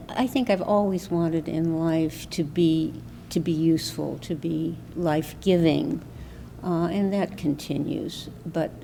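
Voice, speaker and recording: Gravelly older woman, reflective. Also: low voice, warm tone, slight background noise. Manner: reflective, halting. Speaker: interviewee. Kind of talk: unscripted personal interview.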